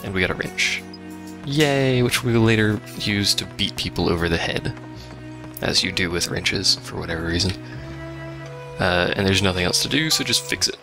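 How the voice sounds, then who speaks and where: A man's voice: deep voice, lively intonation, normal speed. A man giving casual, sarcastic commentary as he plays a video game. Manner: sarcastic, wry. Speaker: let's play host. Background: game music.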